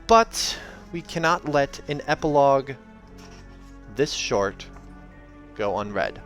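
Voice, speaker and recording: A hoarse man reading a novel aloud and chatting casually between passages, with joking asides and many fillers. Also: medium pitch, expressive, takes it slowly. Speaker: reader and channel host. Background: soft game music.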